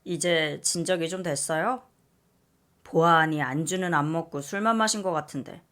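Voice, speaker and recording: A woman voicing a calm, playful young male office coworker who teases gently. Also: sits low, moderate pace. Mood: teasing. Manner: teasing, gently joking.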